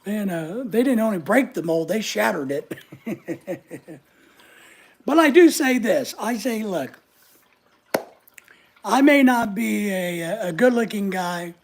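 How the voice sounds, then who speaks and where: A middle-aged man with a high voice often taken for a woman's, chatting casually and joking at his own expense while reading viewer comments. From United States. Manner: chatty, joking, self-mocking. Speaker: channel host reading live chat. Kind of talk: casual livestream chat.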